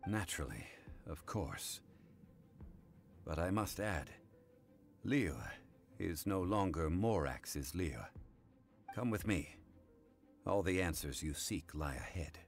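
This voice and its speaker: Calm scholarly gentleman, very low voice, expressive intonation, formal, deliberate, unyielding game character. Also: soft background music, exaggerated voice. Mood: firm, measured. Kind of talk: English game voice acting.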